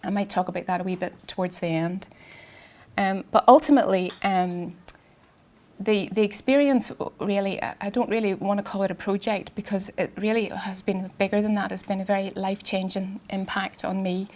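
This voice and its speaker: A woman, an artist giving a public talk in a quiet, soft voice, hesitant with many ums and restarts, and she has a cold. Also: medium pitch, fairly even intonation, normal speed. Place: garden event space with sound system.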